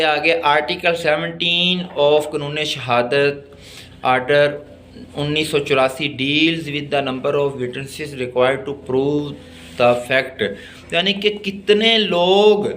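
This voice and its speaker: A man with a Pakistani accent lecturing on law, deliberately reading out text and explaining it in a teacherly way. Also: on the high side, fairly bright tone, a little hiss or hum. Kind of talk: exam-oriented law lesson, reading English text and explaining it.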